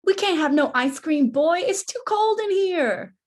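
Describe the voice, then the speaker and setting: A woman's voice: very high-pitched, animated, unhurried. A woman playing a bossy, teasing older child who scolds a younger sibling, in a script read-through. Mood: bossy, scolding. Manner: scolding, know-it-all. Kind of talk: rehearsed table read of a screenplay.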